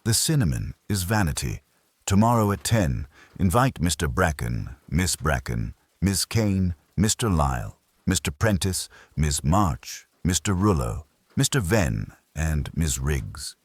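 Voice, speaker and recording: A man with a husky voice voicing an imperious, pedantic detective in an audiobook, formal and pompous. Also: low-pitched, sing-song, a little slow, dry recording, studio quality, heavily acted. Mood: commanding. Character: imperious, pedantic male detective, sparing and dry.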